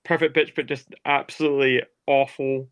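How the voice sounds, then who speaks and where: A man's voice: mid-range voice, flat delivery, normal speed, fairly bright tone, smooth, no echo. A man chatting casually as an interview guest, with short quips. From Scotland. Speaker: band member being interviewed. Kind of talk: casual chat.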